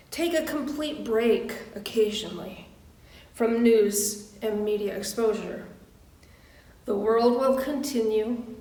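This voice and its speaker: A woman minister giving a sermon and prayer in measured, deliberate short phrases with pauses. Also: on the high side, darkish tone. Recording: roomy echo, distant microphone.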